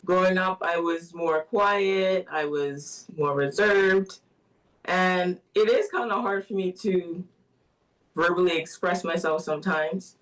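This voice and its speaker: Distant-sounding woman, soft-spoken. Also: on the low side. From Bahamas. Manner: soft-spoken, many fillers and little laughs. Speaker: guest artist. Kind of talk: radio interview answers. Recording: voice somewhat distant from the mic.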